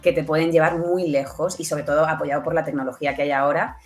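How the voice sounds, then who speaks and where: A woman's voice: low-pitched, fairly even intonation, fast talker, soft background music. A woman with an accent from Spain speaking as an interview guest, explaining conversationally and often checking with short tag questions. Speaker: interview guest, audio fiction producer.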